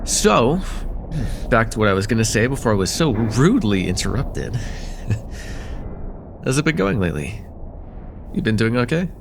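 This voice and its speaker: Man acting as a friendly college guy, lively pitch. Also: measured pace, creaky, long pauses, background noise. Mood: playful bickering. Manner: casual, hesitant, conversational with soft teasing. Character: friendly, teasing college-age man chatting with close friend. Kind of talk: slice-of-life ASMR roleplay acting.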